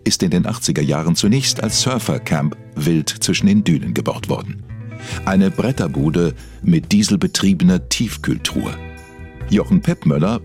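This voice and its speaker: A man playing a solemn documentary narrator, delivering scripted lines in a weighty, dramatic way with a husky voice. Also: deep voice, exaggerated voice. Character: male documentary narrator, solemn, mock-investigative.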